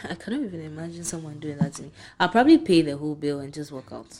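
A woman's voice: low-pitched, expressive, racing, mellow. A young woman, co-hosting a casual chat with blunt, emphatic opinions.

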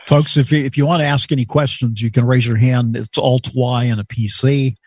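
A man co-hosting a call-in show, explaining how to raise a hand, halting and repeating words. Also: medium pitch, steady tone, slight background noise. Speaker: co-host running the call.

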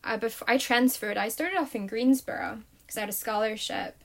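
A woman's voice: on the high side, normal speed. A young woman from the United States chatting casually about learning languages, telling her stories in an upbeat way. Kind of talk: casual chat.

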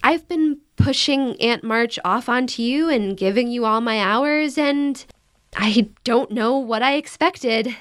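A woman's voice: fairly high pitch, slow, clear, resonant tone. A woman acting a dejected, self-critical young woman of twenty-one in a modern audio drama, dramatic and blunt. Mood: resigned, hurt.

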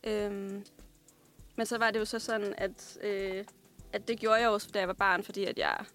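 A woman's voice: medium pitch, flat delivery, fairly bright tone, soft background music. A woman from Denmark answering interview questions as a guest musician, casually and reflectively, feeling her way through her sentences. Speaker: guest singer, songwriter and pianist.

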